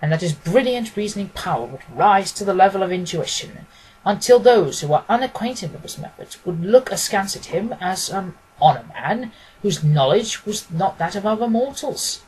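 A man's voice: sits high, lively intonation, moderate pace, darkish tone, a little hiss or hum, low-quality recording. A man reading scripted literary narration as an audiobook reader.

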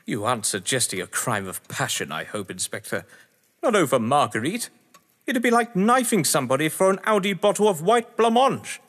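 A man's voice: a little high, big pitch swings, moderate pace, fairly bright tone. A man acting in an audio drama as a witty, haughty husband under questioning, verbose, sardonic and theatrical. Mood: mocking. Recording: echoey hall-like reverb.

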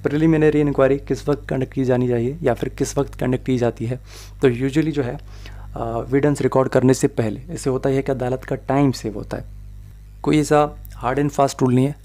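A man's voice: medium pitch, dark tone. A man with a Pakistani Urdu accent giving a slide lecture on evidence law, mixing in English legal terms as he explains step by step.

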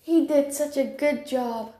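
A woman's voice: high voice, unhurried, mellow, pitched above the performer's own voice. A woman voicing a friendly, welcoming warrior cat in amateur character lines, chatty and encouraging with a newcomer. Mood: proud. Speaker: amateur voice actor. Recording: roomy, echoey home recording.

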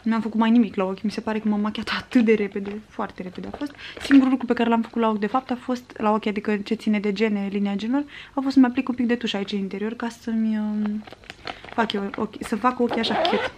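Young woman vlogger from romania, voice a bit high, just rambling casually to the camera at home. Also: fairly even intonation, darkish tone, a little hiss or hum. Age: 20s. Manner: rambling, conversational. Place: small furnished room at home, indoors.

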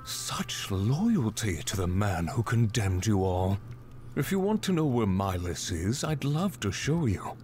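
A man's voice: on the low side, sing-song, a little mellow, soft background music. An actor playing a gloating, maniacal male villain in a video game, taunting theatrically. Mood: mocking menace. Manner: theatrical, slow, taunting delivery.

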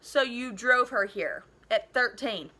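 Incredulous policeman played by a woman reader, storybook role; high, bright, questioning delivery. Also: takes it slowly, heavily acted. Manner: questioning, disbelieving. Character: sceptical, incredulous male police officer questioning a teenager.

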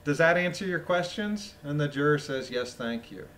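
A man with a phlegmy voice reading a document aloud with casual, incredulous, mocking commentary, often clearing his throat. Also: fairly high pitch, lively intonation, normal speed, a bit bright, a little hiss or hum. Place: voice-over of a screen recording.

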